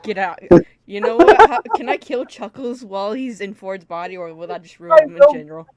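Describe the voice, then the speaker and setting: A woman's voice: mid-range voice, lively intonation, brisk, a crowd in the background. Female streamer, mid-range voice, casual gaming chat, pleading and giggly with mock exasperation. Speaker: livestreamer.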